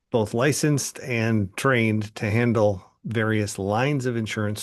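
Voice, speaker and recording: A man hosting a podcast in casual chat, talking hesitantly with many ums and uhs. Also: fairly low pitch. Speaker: podcast host.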